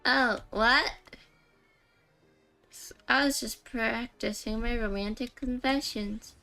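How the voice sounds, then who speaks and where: Female game streamer: unhurried, higher-pitched, casual and rambling livestream chatter. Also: fairly even intonation, sharp tone, soft background music. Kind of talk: casual livestream chat while gaming.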